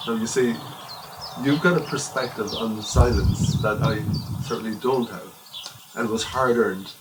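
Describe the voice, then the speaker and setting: A man hosts a podcast interview with a rather low voice. His talk is informal, candid and reflective, and his questions are blunt. Clear hiss runs behind the recording. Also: moderate pace. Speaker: podcast host. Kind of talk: casual interview chat. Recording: noisy background hiss.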